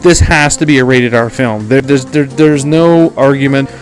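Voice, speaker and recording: A man's voice: mid-range voice, moderate pace, faint music behind, poor audio. A blunt, emphatic man with a Canadian accent giving opinionated film commentary as a podcast host, sometimes swearing.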